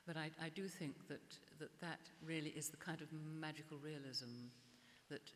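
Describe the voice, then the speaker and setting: An older woman art historian speaking at a public gallery conversation, halting and often restarting her words. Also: sits low. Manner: hesitant, restarts and repeats words. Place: gallery event space before an audience. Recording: echoey hall, faint hiss.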